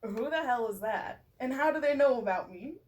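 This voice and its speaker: Woman as small, exasperated male angel, high-pitched and blunt, slightly off-mic, comic fantasy. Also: animated, moderate pace, darkish tone, distant mic, natural voice. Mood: incredulous. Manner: irritated, blunt, incredulous. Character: exasperated little bald male angel, gruff and sarcastic. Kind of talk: comic fantasy fan fiction reading.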